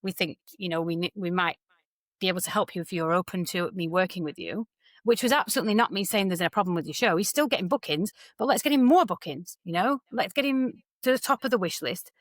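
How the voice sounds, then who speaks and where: A woman's voice: lowish voice, fast, no echo. Candid British-born woman entertainer, dry sound. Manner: candid and animated, quotes others in lively voices. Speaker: guest entertainer and talent mentor. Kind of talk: candid podcast interview.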